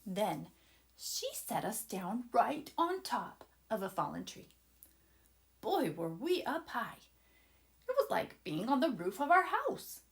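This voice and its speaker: A woman voicing an excited, adventurous young boy who eagerly and dramatically narrates a children's storybook to his listeners. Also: on the high side, takes it slowly, gravelly, heavily acted. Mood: excited storytelling. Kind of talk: children's storybook read-aloud.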